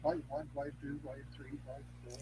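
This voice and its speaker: An older man in casual, rambling conversation as a podcast guest, halting and repeating words for emphasis. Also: mid-range voice, completely flat delivery, mellow. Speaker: podcast guest, elder of a witchcraft tradition. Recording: noisy line with hum.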